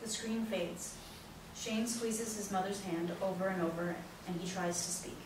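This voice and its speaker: A woman's voice: medium pitch, fairly even intonation, moderate pace. A woman narrator reading out the stage directions of a play as scripted narration. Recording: very echoey, distant, noisy room recording.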